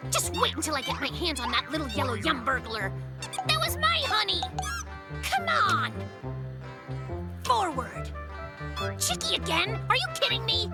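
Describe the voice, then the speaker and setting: A very high, swooping young fox voice, for a kids' cartoon. He is a self-styled genius who declares everything with boastful drama. Loud music plays behind him. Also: huge pitch swings, a little slow, long pauses, exaggerated voice. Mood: indignant. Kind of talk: children's cartoon dialogue.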